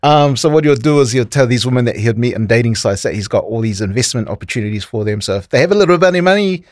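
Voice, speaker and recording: A man's voice: mid-range voice, animated, quick pace, no echo. A man recounts stories casually as a podcast co-host. His voice sits mid-range and is recorded close and dry.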